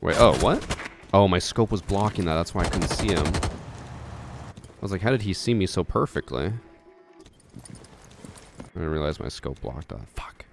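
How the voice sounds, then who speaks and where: A man with an extremely deep, gravelly voice talks calmly and without excitement while he plays a shooter live. Loud gunfire and clicks from the game are heard clearly behind him. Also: a little slow, pauses often, background noise. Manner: calm, unexcited. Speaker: streamer. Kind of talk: casual gameplay commentary with chat.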